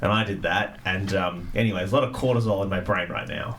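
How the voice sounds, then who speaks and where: A man's voice: low voice, quick pace, over a noisy crowd. A man playing a tabletop game, rambling and joking, a little winded. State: out of breath after medical test. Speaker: player.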